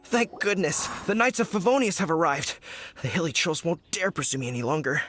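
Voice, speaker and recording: A flustered, animated village trader. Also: male voice, fairly high pitch, brisk, soft background music. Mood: relieved. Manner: exasperated, dramatic. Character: flustered, indignant male fruit cart trader. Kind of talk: scripted video game voice acting.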